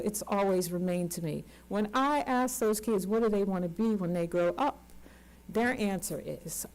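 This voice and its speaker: A woman's voice: medium pitch, darkish tone, low-quality recording. Earnest woman in her sixties. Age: 60s. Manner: earnest, emphatic, repeats words for stress. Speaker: community organizer on a panel. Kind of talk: unscripted panel remarks. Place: conference panel.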